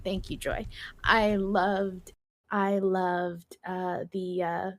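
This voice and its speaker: A woman's voice: lowish voice, little pitch movement, very slow, no echo. A woman hosting a podcast, chatting casually and enthusiastically as a fan. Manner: enthusiastic, gushing. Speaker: podcast host.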